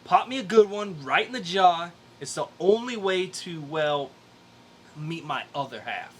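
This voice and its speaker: A man playing a gruff, reluctant, slangy blue-collar worker in an amateur dramatic comic book reading. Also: high voice, expressive, a little slow, full character voice. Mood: resigned, bossy.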